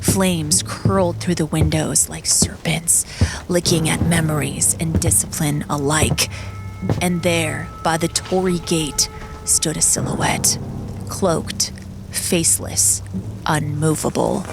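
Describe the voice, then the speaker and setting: Slow, low-voiced woman narrator. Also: fairly even intonation, gravelly. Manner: measured, solemn. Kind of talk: scripted dramatic storytelling narration. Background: music.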